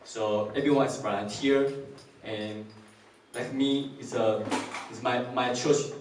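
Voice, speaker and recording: A man in his twenties from Taiwan, a ship crew volunteer, giving a halting presentation to a church. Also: mid-range voice, fairly even intonation. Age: 20s. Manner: halting, searching for words, simple phrasing. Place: church hall. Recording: echoey hall, mic far off, faint noise.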